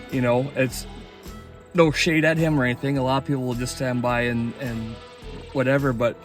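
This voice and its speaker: A man talking casually and enthusiastically in an informal vlog as an amateur radio operator. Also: medium pitch.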